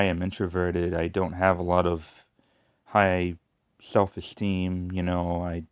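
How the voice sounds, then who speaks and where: A man with a noticeable lateral lisp talks in a low, rambling voice and keeps restarting his sentences. The recording is dry and a bit dull. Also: clean tone, no echo. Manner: rambling, halting, many restarts and fillers. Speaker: YouTuber. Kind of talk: casual personal monologue.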